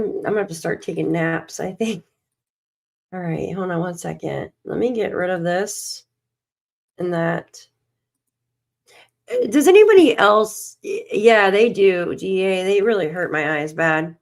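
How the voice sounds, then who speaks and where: A tired woman hosting a casual livestream, reading documents aloud and muttering to herself as she searches, coughing and losing her voice. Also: on the low side. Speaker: livestream host.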